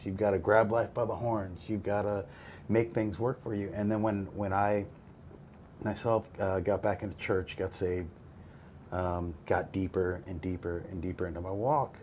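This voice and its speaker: A man hosting a faith-based recovery conversation, talking in a relaxed, reflective way with many pauses and fillers. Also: low-pitched, a little hiss or hum. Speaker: podcast host.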